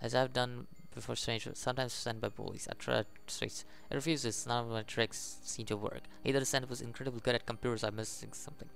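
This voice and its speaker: A man hosting a gaming video, reading a visual novel aloud in a halting way, with casual commentary. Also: mid-range voice, steady tone, creaky, a bit bright. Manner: halting, stumbles over the text.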